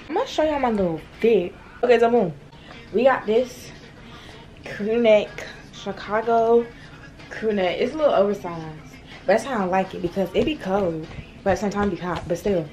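A teenage girl in casual, playful vlog chat, talking to her phone camera at home and in a store. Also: medium pitch. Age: teens. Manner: chatty, playful, quick asides and laughs. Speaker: vlogger. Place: at home in a bedroom, later in a large store. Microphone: phone camera held at arm's length.

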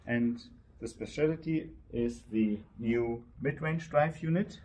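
A man explaining a product in an interview as a company representative, in a measured, technical way. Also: medium pitch, a little mellow, a little hiss or hum. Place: indoor trade show demo room.

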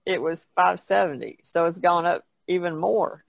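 A woman talks casually as a podcast panelist, easygoing and informal. Her voice has a clear creak to it, and the sound is dry and close. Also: on the low side, normal speed, no room sound. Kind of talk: casual chat.

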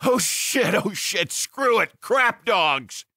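A frantic, exasperated corporate boss, acted out by a man for an audiobook. The voice is sharp and forceful, swinging widely in pitch, with slow, strained words. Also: expressive, exaggerated voice. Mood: panicked. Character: panicked foul-mouthed male company chief executive.

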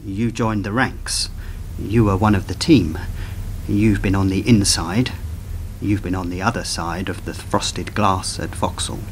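Discreet male handler for a radio spy drama man acting, lowish voice, careful phrasing, faint noise behind. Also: steady tone, measured pace. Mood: measured.

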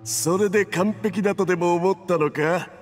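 男性の声優がゲームで演じる、毒で弱り、息も絶え絶えに高圧的に脅す傲慢な使節。. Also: male voice, sits high, natural voice. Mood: indignant, strained. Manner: haughty, gasping, halting threats. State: weakened and struggling from poison. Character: arrogant male envoy, poisoned, betrayed and indignant. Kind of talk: dramatic game cutscene acting in Japanese anime manner. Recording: long reverb, music underneath.

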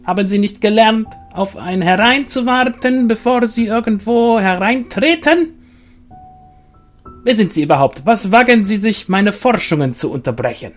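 A man voicing a fat, sweaty, irascible male magister who shouts in shrill, furious outbursts. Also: lively intonation, moderate pace, faint music behind. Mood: indignant.

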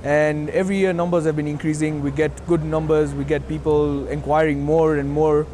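A man's voice: fairly high pitch, little pitch movement, moderate pace, warm tone, a little hiss or hum. A man giving an interview, rambling and restarting his sentences as he goes.

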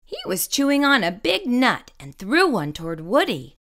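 Bright, animated woman reading a storybook. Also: a little high, moderate pace. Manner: expressive, carefully phrased for children. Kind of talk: children's picture-book read-aloud.

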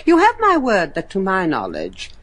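A woman's voice: medium pitch, huge pitch swings, normal speed, fairly bright tone, a little hiss or hum. An older woman playing a haughty, evasive grandmother, lady of a manor, in a gothic horror radio play. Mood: scornful. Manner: haughty, theatrical delivery.

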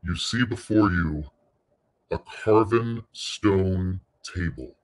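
Guy with a deep low voice doing guided meditation, really slow, soothing, lots of pauses. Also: rough recording. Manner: soothing, unhurried, pausing between short phrases.

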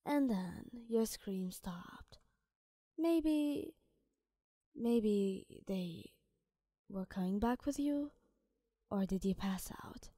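Woman storyteller, Arabic-tinged English accent, slow and mellow, whispery, dry close sound. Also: mid-range voice, lively intonation, no room sound. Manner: soft, often whispered, sleepy. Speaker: ASMR storyteller.

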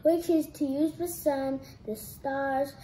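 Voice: high voice, fairly even intonation, slow, warm tone, a little hiss or hum. A young-sounding reader reading a storybook aloud carefully, restarting phrases after stumbles, in an echoey room.

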